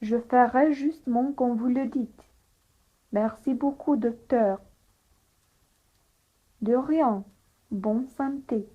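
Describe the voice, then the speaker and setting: A woman's voice: fairly high pitch, takes it slowly, pauses often, husky. A woman carefully reading a learner dialogue, taking both the patient and the doctor parts. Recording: tinny phone-like sound.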